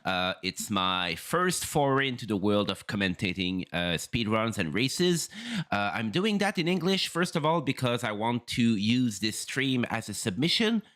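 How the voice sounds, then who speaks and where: Halting man streaming. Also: lowish voice, expressive, a bit bright. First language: French. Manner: halting, many fillers and restarts. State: throat still rough after flu. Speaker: streamer and first-time race commentator. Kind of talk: casual livestream talk.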